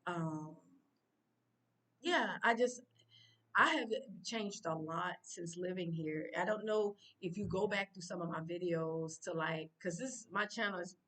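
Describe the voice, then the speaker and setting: Woman, American accent, casual vlog talk, rambling with fillers, lowish voice, heard slightly distant from the mic. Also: fairly bright tone. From United States. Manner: chatty and rambling, with many fillers and restarts; addresses viewers directly and informally. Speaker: vlogger.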